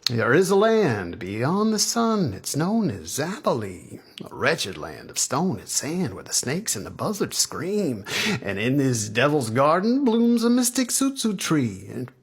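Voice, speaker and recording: A performer voicing a sly, folksy male hermit guru from a comic poem, husky, making drawn-out mystical pronouncements. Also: medium pitch, wildly swooping pitch, pitched above the performer's own voice, full character voice. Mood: grandiose, ominous.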